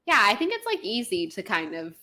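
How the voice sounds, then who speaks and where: A young woman from Florida co-hosting a podcast, chatting casually and fast, with lots of likes and ums. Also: medium pitch, wildly swooping pitch, pushed and bright. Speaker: podcast co-host. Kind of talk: casual chat.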